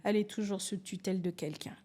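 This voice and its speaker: Animated woman in her forties from Angola. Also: medium pitch, fast talker. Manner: animated, storytelling, restarts and acts out quotes. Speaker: podcast guest. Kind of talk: candid conversational interview.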